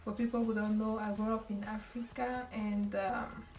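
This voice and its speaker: A woman's voice: mid-range voice, little pitch movement, a little mellow, soft background music. A young Cameroonian woman chatting to camera and giving tips, stopping often for an um and starting lines over. She sits a little back from the mic in a room with plenty of echo. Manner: halting, many ums and restarts. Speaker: vlogger. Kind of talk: casual advice talk to camera. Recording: echoey room, voice a bit far from the mic.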